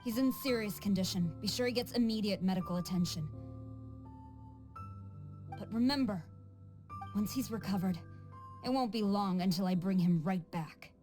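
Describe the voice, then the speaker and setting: A woman's voice: mid-range voice, normal speed, pauses often, darkish tone, soft background music, close to an everyday voice. A woman playing a stern, commanding military officer in a video game, curt and imperious. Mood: stern, restrained. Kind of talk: scripted video game acting.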